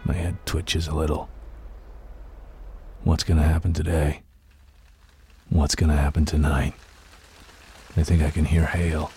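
A man playing a world-weary, drunken, nihilistic film noir detective in a brooding, deadpan radio monologue. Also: a bass voice, vocal fry, heavily acted. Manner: slow, brooding, deadpan narration. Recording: noisy, rain sound behind. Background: rain.

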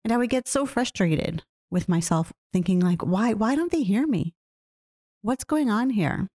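Woman musing out loud, asks the listener stuff, warm low voice, dry. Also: lively intonation, moderate pace, no echo. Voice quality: self-described low voice. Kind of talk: reflective personal talk.

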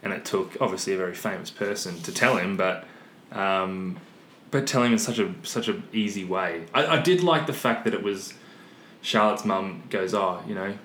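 A man's voice: normal speed, fairly bright tone. A man on a film discussion panel, talking through his thoughts in a casual, reflective way. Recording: echoey room, noticeable hiss and rumble.

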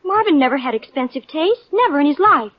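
An actress playing an anxious, devoted, jealous young sweetheart, fretful and pleading, in a 1940s radio drama. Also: female voice, high-pitched, very animated, a little fast, no room sound. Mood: jealous, indignant. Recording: dull 1940s radio recording.

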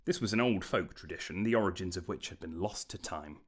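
A man's voice: low-pitched, brisk. A man reading scripted narration for a fantasy story.

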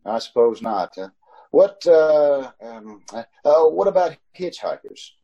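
A man's voice: on the high side, very animated, warm tone, highs cut off, heavily acted. A man playing a haunted, uneasy motorist who tells his story in an old-time radio drama. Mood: nervous, hesitant. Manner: measured narrating, nervous stammering in dialogue. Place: home, recorded over a video call.

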